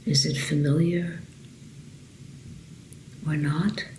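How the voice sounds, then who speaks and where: A woman meditation teacher leading a guided meditation softly and unhurriedly, with long pauses and a breathy voice that trails toward a whisper. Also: level tone. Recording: roomy echo, loud rumbling background noise.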